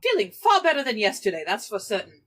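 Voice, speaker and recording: A woman plays a tabletop game in a casual, improvised way. Her voice is fairly high and bright, and it moves expressively as she tells what her character does. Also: sing-song, fast. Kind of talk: casual improvised role-play.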